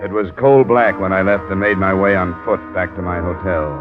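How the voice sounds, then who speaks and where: Low-voiced hardboiled radio investigator played by a man. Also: fairly even intonation, loud music behind the voice, lightly acted. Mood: clipped narration. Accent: American. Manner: terse, measured, probing. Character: dry, persistent hardboiled male insurance investigator. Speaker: lead and narrator. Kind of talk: 1950s radio detective acting. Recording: dull vintage radio recording.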